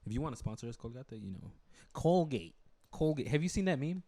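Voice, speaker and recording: A man's voice: mid-range voice, very animated, a little fast, mellow. A young man co-hosting a podcast, chatting loosely with jokes, swearing and plenty of fillers. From United States. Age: young adult. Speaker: podcast co-host. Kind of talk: casual chat.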